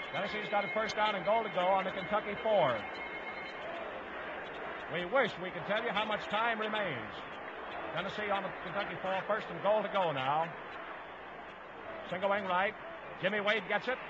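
A man's voice: sits high, moderate pace, long pauses, muffled recording, heavy background noise. A man calling play-by-play for a 1950s radio football broadcast in clipped, staccato phrases. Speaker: play-by-play sports announcer. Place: stadium broadcast booth.

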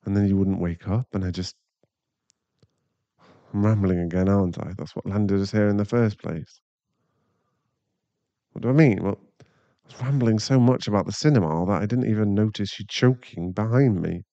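Low, gravelly man voicing a tender werewolf boyfriend. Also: mellow, no echo, dull audio, lightly acted. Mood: shaken, rambling. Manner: halting, rambling, soothing, breathy sighs. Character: male werewolf boyfriend, shaken, tender, protective, anxious. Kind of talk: ASMR boyfriend roleplay, scripted audio.

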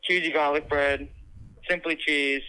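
A man's voice: on the high side, steady tone, measured pace, bright, forceful tone, smooth, rough recording. A man taking orders on a customer service call, brief, patient and businesslike. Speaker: pizza shop order taker.

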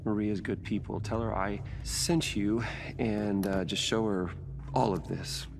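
Low, gravelly, weary scientist. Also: male voice, steady tone. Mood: subdued, resigned. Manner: subdued, halting. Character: weary, guilt-ridden middle-aged male scientist. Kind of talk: video game cutscene acting. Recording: clear ambient noise behind voice.

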